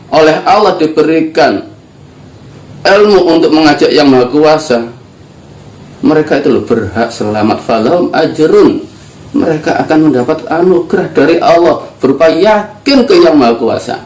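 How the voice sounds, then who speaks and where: Older man, spiritual teacher, earnest emphatic delivery, slightly high voice, roomy echo. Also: lively intonation, moderate pace, darkish tone. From East Java, Indonesia. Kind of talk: religious teaching talk. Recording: echoey room.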